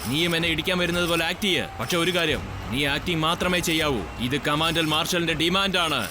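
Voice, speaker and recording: Guy doing a gloating cartoon bad guy commander, bossy n menacing, bit high for a man, noisy behind. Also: quick pace, close to an everyday voice. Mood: gloating, commanding. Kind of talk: dubbed children's cartoon acting.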